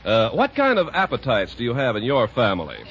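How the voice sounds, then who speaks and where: A man's voice: wildly swooping pitch, fairly quick, a bit bright, a little hiss or hum. A man working as a 1940s radio announcer, reading scripted ads and narration with polished salesmanship. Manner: polished sales-pitch delivery. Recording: muffled 1940s radio recording.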